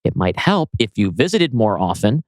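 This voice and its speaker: A man's voice: fairly low pitch, big pitch swings, normal speed, no echo, clean studio sound. A man voicing a weary, pushy but doting grandmother in her sixties in a script reading. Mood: frustrated. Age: 60s.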